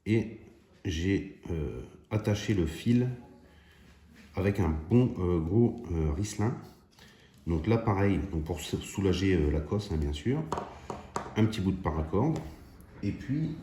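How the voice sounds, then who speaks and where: A man's voice: low-pitched, animated, measured pace, long pauses, mellow, husky. A man hosting a hobby DIY video, chatting informally and thinking aloud as he demonstrates by hand. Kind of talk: casual hands-on demonstration.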